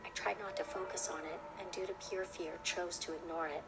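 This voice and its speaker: A woman's voice: fairly low pitch, fairly even intonation, fairly quick, over a noisy crowd. A woman reading a scripted first-person horror story as narrator. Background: loud music.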